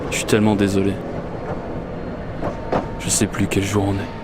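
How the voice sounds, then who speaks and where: An actor playing an anxious, rambling commuter lost in a surreal metro, talking conversationally to himself in a radio fiction monologue. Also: male voice, fairly low pitch, monotone, moderate pace, close to an everyday voice. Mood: despairing. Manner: conversational, self-questioning, increasingly desperate. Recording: clear vehicle ambience and noise behind. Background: metro train ambience.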